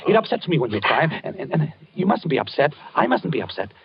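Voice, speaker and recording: A haunted, fixated husband who stammers and swings from hushed to frantic in a lively, husky voice. The sound is that of a muffled old radio broadcast, dry and close. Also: male voice, a little high, fast, no room sound, exaggerated voice. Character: obsessed haunted husband, tense and unstable man. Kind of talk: 1940s radio acting.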